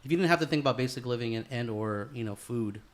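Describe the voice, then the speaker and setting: Podcast host, male, quick delivery, slangy bro-and-dude banter, mid-pitched voice. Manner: slangy, calls listener bro and dude, swears freely. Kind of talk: casual chat.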